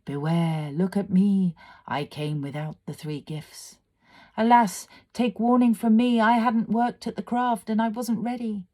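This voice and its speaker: Storybook spectre: woman playing a mournful ghost, wailing and regretful, voice lifted high. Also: steady tone, a little slow, warm tone, close to an everyday voice. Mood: mournful warning. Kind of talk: dramatised storybook reading.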